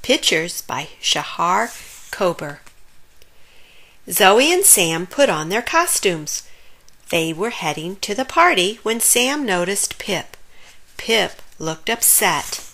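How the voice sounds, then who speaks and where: A woman reading a picture book aloud for children, slow, clear and expressive. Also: medium pitch. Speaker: storybook reader.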